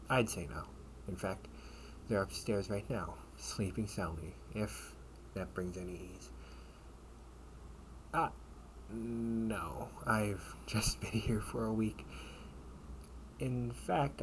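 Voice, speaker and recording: A man playing a protective older brother and taunting captor in an amateur audio roleplay, sardonic and menacing, with casual, halting delivery. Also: lowish voice. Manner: casual, halting, mocking, breathy asides. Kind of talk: amateur audio roleplay acting, one-sided dialogue. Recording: noisy amateur home recording.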